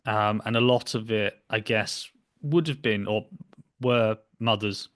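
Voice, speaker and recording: Low-pitched young man, hesitant talker. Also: unhurried. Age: young adult. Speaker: podcast host. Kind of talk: casual interview chat. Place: small home room, indoors. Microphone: computer mic on a video call.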